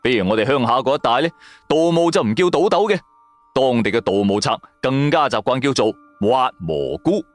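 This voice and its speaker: A man with a Cantonese accent telling a scripted first-person story in a colloquial, expressive style. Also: mid-range voice, moderate pace, no room sound. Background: music.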